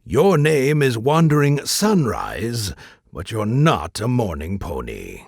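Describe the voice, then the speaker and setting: A man's voice: mid-range voice, wildly swooping pitch, takes it slowly, gravelly, husky, no room sound, studio quality, full character voice. A man playing a wry, dramatic game-system announcer in an audio drama. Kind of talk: theatrical scripted announcement.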